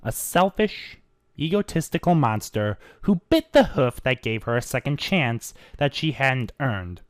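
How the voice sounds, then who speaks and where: Animated amateur narrator, mid-pitched voice. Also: unhurried, no echo, studio quality. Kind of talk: dramatic scripted narration of fan fiction.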